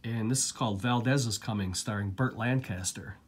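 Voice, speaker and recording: A man with a lingering cold, casually chatting through a relaxed, rambling unboxing of his new discs, recorded indoors at home. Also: lowish voice, a little fast. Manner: relaxed, rambling, filler-heavy. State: getting over a cold, coughing. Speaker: collector vlogger.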